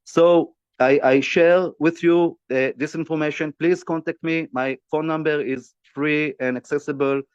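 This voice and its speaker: A man's voice: a little high, steady tone, measured pace, hardly any pauses, smooth, no echo, highs cut off. A man from Israel making an urgent appeal in broken English, with many hesitations and fillers. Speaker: activist speaker. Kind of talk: impassioned appeal in halting English.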